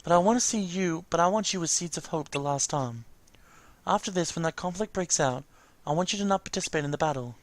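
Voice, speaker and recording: A man voicing a solemn mentor who speaks gravely and formally in a video game story. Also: on the high side, fast talker, no room sound, natural voice. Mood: solemn foreboding.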